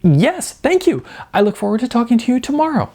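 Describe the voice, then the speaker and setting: A narrator voicing a sweet, excitable young actress with a gushing, halting delivery. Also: mid-range voice, pitched above the performer's own voice. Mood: elated, tearful.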